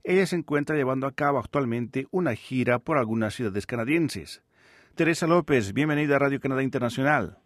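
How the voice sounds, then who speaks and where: Radio guy in a studio, formal, calm questions, mid voice, dry sound. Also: medium pitch, a little fast, no room sound. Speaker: radio interviewer. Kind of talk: radio interview.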